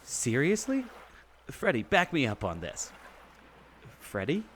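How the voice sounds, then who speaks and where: Man playing silly excitable adventurer, hammy radio comedy, exaggerated delivery, lively swooping pitch, background noise. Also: slow pace, full character voice. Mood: flustered. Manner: exaggerated, theatrical. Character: excitable, silly, food-obsessed male adventurer Al. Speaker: podcast host acting in audio drama. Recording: noticeable ambient background noise.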